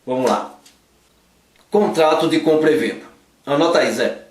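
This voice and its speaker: A man's voice: on the high side, normal speed. A man from the Northeast, teaching law in a playful, joking way and teasing a sidekick. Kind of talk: joking casual law lesson. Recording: roomy echo, a bit far from the mic.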